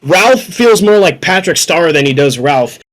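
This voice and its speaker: A man's voice: fairly high pitch, animated, unhurried, a bit bright. A young man casually discussing films on a podcast, rambling and opinionated, with plenty of swearing. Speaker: podcast panelist.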